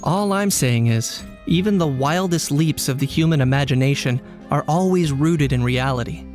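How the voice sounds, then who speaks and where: Man voicing a deadpan, cool young man. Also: on the high side, faint music behind, lightly acted. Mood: matter-of-fact, dry. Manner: deadpan, measured, matter-of-fact. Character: cool, pragmatic young man, dryly unbothered. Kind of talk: English video game dub acting.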